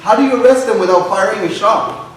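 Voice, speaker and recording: A man's voice: sits high, brisk, lightly acted. A man playing a skeptical young guy who casually argues in defense of police in a staged play reading. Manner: casual, argumentative. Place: theater hall, recorded from the audience. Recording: roomy, distant stage recording with faint noise.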